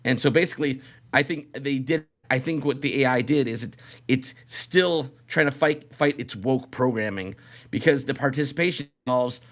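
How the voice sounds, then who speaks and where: A man hosts a live podcast in a loose, conversational style. His voice sits at a middling pitch, and he rambles, often circling back to repeat his phrases. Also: moderate pace. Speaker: podcast host. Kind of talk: casual live podcast chat.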